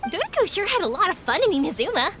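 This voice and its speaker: A woman's voice: extremely high pitch, big pitch swings, fast, a bit bright, slight background noise, exaggerated voice. A woman voicing an upbeat, encouraging young shopkeeper with a big-sister way of talking. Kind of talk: game voice acting.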